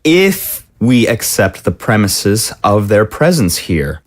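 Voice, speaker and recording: A man's voice: lowish voice, big pitch swings, takes it slowly. A man playing a cautious, dismissive mayor in an audio drama, speaking in a formal, wordy and officious way. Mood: skeptical, measured. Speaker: voice actor. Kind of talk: scripted audio drama acting.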